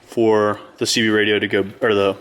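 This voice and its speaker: A man's voice: lowish voice, flat delivery, brisk, fairly bright tone, clear, resonant tone. Easygoing man chatting through a car job. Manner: easygoing, chatty, plain-spoken explaining. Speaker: host of a car build channel. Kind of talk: casual how-to vlog. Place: garage, inside a vehicle.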